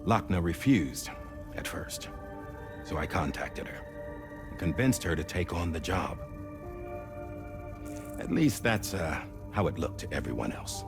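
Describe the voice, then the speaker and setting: An actor playing a grave, resolute middle-aged director who delivers measured, theatrical oratory in a game cutscene. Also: male voice, low voice, animated, loud music behind the voice. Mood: measured, explanatory. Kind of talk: dramatic game cutscene monologue.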